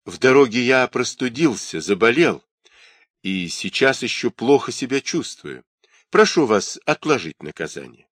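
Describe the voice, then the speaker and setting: A man's voice: mid-range voice, sing-song, a little slow, a bit bright, no echo. A man voicing a polite, humble exiled male instructor who speaks in a subdued way. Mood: weary.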